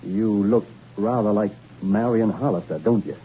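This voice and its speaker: Actor as a dry hard-boiled investigator. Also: male voice, fairly low pitch, moderate pace, dark tone, natural voice. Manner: clipped, dry and persistent. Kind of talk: 1960s radio drama acting. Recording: dull, faintly hissy old radio recording.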